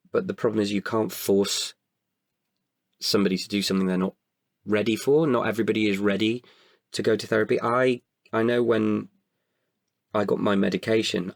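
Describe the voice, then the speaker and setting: A man with a very low voice full of gravelly creak. He speaks as an interview guest, candid and hesitant, feeling his way through each answer and doubling back on words. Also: dry recording, clean studio sound. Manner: hesitant, halting delivery with repeated words. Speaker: podcast guest, writer and performer.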